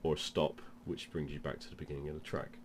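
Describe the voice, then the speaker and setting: A man's voice: rumbling low, flat delivery, brisk, faint music behind. A man with a cold presents an informal product demonstration, explaining things step by step. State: has a cold. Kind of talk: informal instructional demo.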